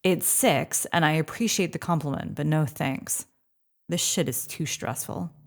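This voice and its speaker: Low, creaky, sardonic space crewwoman. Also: measured pace, heavily acted. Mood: dry, sarcastic. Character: sardonic, stressed, foul-mouthed woman on a space mission. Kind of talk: audio drama acting.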